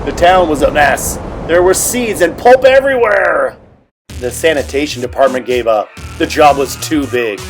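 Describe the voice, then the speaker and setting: Male storybook reader, high-set voice with very lively swings and dramatic emphasis, faint music underneath. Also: normal speed. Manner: animated, dramatic emphasis for children. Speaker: teacher reading a picture book aloud. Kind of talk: expressive storybook read-aloud narration.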